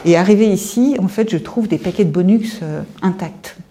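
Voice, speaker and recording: Very mellow, soft-edged woman in her fifties. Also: mid-range voice. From France, Paris suburbs. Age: 50s. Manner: hesitant, halting, reflective storytelling. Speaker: visual artist being interviewed. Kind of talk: informal guided-tour interview.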